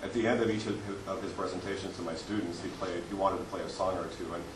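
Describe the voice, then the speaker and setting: A man's voice: sits low, steady tone, fast talker. A man, a teacher, telling rambling anecdotes in a casual group discussion. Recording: echoey room from far off, noisy.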